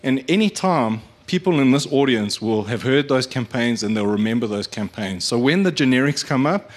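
A man giving an informal, earnest, explanatory presentation to an audience. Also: medium pitch. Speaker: media agency speaker. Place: live event venue.

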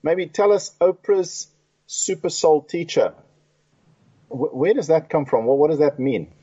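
A man hosting an interview talk show, relaxed and chatty with frequent fillers. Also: a little high, a little slow, breathy edge. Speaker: radio talk show host. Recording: dull lo-fi sound.

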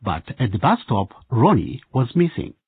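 A man's voice: mid-range voice, big pitch swings, normal speed, narrow, tinny audio, noisy background. A man narrating a scripted radio drama, addressing listeners as a storyteller.

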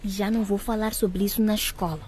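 A woman's voice: medium pitch, steady tone, a little fast, a little mellow, slight background noise, natural voice. An actress playing a hurt, defiant schoolgirl in a radio drama, speaking with emotion and reproach. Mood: cold, defiant. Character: hurt, defiant pregnant schoolgirl standing her ground.

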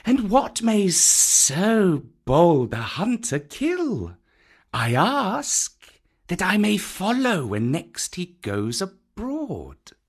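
A man reading a storybook aloud, voicing a huge old python who talks slowly and slyly. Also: sits high, huge pitch swings, breathy edge, pitched above the performer's own voice, exaggerated voice. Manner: slow, drawn-out, sly. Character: huge old python, slow and menacing, sly.

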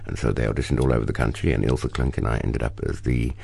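Very deep gravelly South African man. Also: quick pace, dry recording. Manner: expressive, storytelling, animated bursts. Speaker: actor, guest. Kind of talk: interview conversation.

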